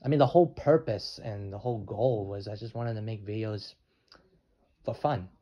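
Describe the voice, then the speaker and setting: An eighteen-year-old man talking in a rambling vlog, halting and restarting his sentences often. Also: lowish voice, mellow. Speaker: channel owner. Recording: dull lo-fi with faint noise.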